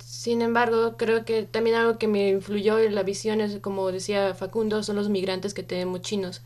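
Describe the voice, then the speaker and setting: A woman speaks as a journalist guest on a radio interview, explaining her points carefully. Her pitch sits in the middle and stays even, without much rise and fall. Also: normal speed. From Mexico.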